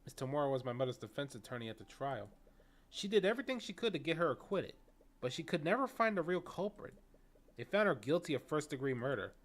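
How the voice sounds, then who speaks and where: A man's voice: a little high, very animated, fast, fairly bright tone. A man playing a troubled young man haunted by grief, in a dramatic role-play. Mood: grieving, guarded.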